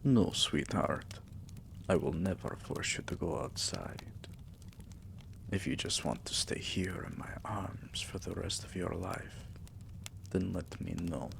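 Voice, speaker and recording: Man as a very deep, slow, doting vampire king. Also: creaky, noisy background, exaggerated voice. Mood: tender, protective. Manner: soothing, intimate, slow and murmuring, often whispering. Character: doting, possessive vampire king, tender and dominant. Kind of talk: ASMR romantic roleplay.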